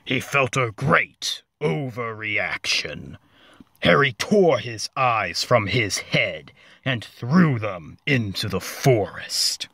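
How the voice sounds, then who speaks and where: A man narrating a comic story as a dramatic reading, deliberate and mock-serious, with dramatic pauses. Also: mid-range voice, sing-song, vocal fry, pushed and bright, husky, no room sound.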